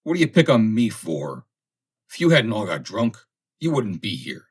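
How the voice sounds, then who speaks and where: An angry, defiant sailor as acted by a male audiobook reader. He blusters and yells accusations in a raised, slightly husky voice, swinging sharply in pitch. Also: very animated, moderate pace. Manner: yelling, blustering. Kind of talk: audiobook character acting.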